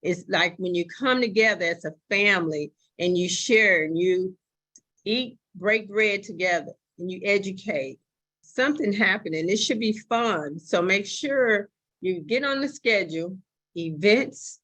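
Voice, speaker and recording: A woman's voice: mid-range voice, lively intonation, a little slow, a bit bright, low-quality recording. A woman on a video call giving an emphatic motivational talk to her team and introducing a video. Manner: emphatic, repetitive.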